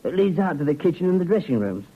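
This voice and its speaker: A man's voice: on the high side, sing-song, fast talker, slightly rough. An actor playing a humble, hesitant, plain-spoken club doorman with folksy grammar in a vintage radio drama. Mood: helpful. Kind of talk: 1950s radio drama acting. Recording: muffled old radio recording.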